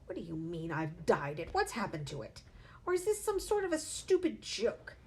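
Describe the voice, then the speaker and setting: A woman voicing a blustering, vain father who yells indignantly in a storybook reading. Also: medium pitch, wildly swooping pitch, normal speed. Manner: yelling, indignant. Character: blustering angry middle-aged father, vain car salesman. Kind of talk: read-aloud storybook acting.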